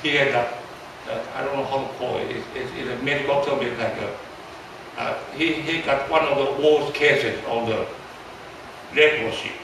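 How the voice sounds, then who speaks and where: An older man in his seventies speaks with a Taiwanese accent, preaching in a halting, repetitive way and turning to his listeners with questions. His voice sits at a middle pitch and is picked up from a distance in a very echoey church hall, with clear noise underneath. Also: fairly even intonation. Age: 70s. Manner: halting, repeats words, asks the audience questions. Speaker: pastor. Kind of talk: storytelling sermon.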